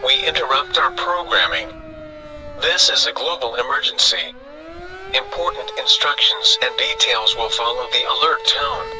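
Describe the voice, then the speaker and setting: A man's voice: mid-range voice, huge pitch swings, moderate pace. A man as a swooping emergency alert announcer, noisy. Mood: stern, official. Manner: formal, official, repetitive. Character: stern male emergency alert system announcer.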